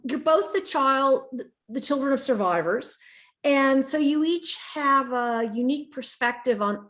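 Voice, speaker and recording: A woman moderating a panel discussion, phrasing her questions carefully and thoughtfully. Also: sits high.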